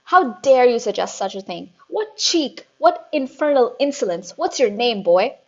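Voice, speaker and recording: A woman voicing a huge, cruel headmistress who barks and shouts with contempt, on a dull lo-fi recording. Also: mid-range voice, moderate pace, lightly acted. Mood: bellowing scorn. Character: huge bullying female headmistress, furious and cruel.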